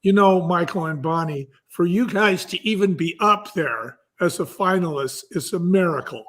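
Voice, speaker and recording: A man's voice: high voice, normal speed, a bit bright. A man talking conversationally as an interview guest, explaining things emphatically like a storyteller and repeating key phrases. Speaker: audiobook producer and guest.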